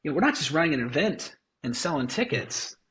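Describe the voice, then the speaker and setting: A man's voice: a little high, very animated, fast, fairly bright tone. A man speaking as an interview guest, talking casually and energetically, swearing now and then and restarting his sentences. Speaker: guest, festival founder.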